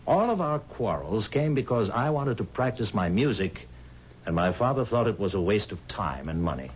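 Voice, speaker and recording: An actor playing a haunted, pleading musician bound to the devil, speaking earnestly and formally in dramatic 1970s radio style. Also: male voice, fairly low pitch, very animated, moderate pace. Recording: dull, hissy 1970s radio recording.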